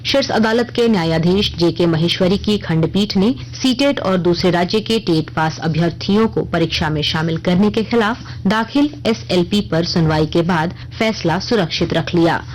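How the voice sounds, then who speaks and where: Female news reader, lower-set voice, formal and measured bulletin delivery. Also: a little mellow, a little hiss or hum, low-quality recording. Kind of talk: scripted radio news bulletin reading.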